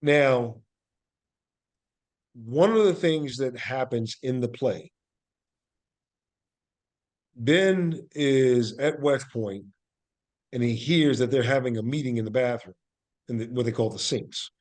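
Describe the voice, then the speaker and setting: A man speaking as an interview guest, telling stories conversationally in deliberate, emphatic short phrases. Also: medium pitch, a little mellow. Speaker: podcast guest, actor and playwright.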